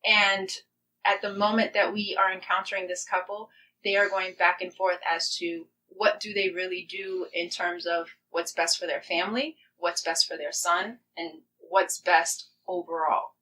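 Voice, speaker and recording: Woman podcast guest, bright forceful voice, earnest n reflective, mic sounds a bit far off. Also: fairly low pitch, level tone, moderate pace. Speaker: playwright guest. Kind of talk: conversational podcast interview.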